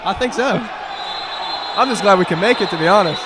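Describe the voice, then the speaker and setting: A man's voice: high voice, fairly quick, a loud crowd. A young American man giving live basketball analysis as a colour commentator, in a conversational, opinionated way. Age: young adult. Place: indoor high school gym during a game. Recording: very noisy gym background.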